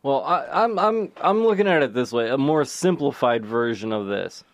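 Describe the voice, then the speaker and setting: A man's voice: mid-range voice, expressive, normal speed, no echo. A man co-hosting a casual sports podcast, joking and rambling, often restarting his sentences. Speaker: podcast co-host.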